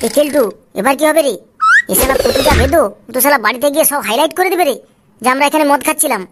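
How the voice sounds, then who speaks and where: Female actor as excuse-making male buddy, comic cartoon, fast, slangy, repetitive, noise behind. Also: high-pitched, huge pitch swings. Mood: alarmed, grumbling. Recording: clear background noise.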